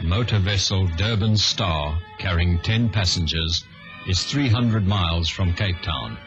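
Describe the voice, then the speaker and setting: A man's voice: sits low, a little slow. A man giving dramatic scripted announcements and narration in the style of old radio. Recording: dull vintage radio recording. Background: music.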